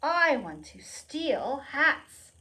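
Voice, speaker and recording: A woman presenting a preschool storytime, reading aloud and explaining in simple, expressive phrases with questions for young children. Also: high-pitched, drawn-out words, rough recording.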